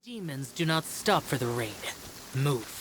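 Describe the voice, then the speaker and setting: Low, scornful game fighter. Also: female voice, expressive, unhurried, background noise. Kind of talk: video game combat barks. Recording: music behind the voice.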